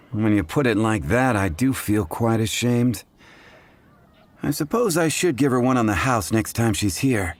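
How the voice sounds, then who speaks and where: A man's voice: on the low side, expressive, measured pace. A man playing a candid, good-natured tavern barkeeper in an anime video game, with a matter-of-fact conversational delivery. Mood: sheepish. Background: game music.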